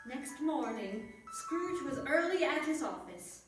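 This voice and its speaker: A woman's voice: high voice, sing-song, slow pace, over music. A woman narrating a scripted radio play in a storytelling style. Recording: echoey room, distant microphone, faint noise.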